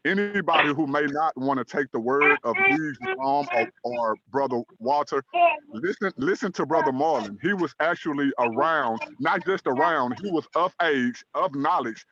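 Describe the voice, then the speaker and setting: A man in his thirties from Dallas, Texas, hosting an online talk show in a measured, earnest way, with frequent "um" and "uh" fillers. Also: a little high, animated, sharp tone, dull audio, a little hiss or hum. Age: 30s. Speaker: host.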